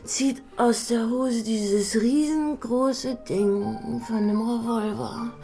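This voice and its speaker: Brief: a drunken, crude, coquettish woman, slow and slurred, radio-play style, music under. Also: a little high, steady tone, vocal fry, warm tone. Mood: tipsy, flirty. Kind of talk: radio drama acting. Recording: music playing behind.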